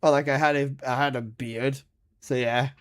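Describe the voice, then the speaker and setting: A man's voice: medium pitch, fairly even intonation, moderate pace, fairly bright tone, smooth. A man in his twenties streaming a game, chatting casually with his chat and bantering with lots of swearing and laughter. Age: 20s.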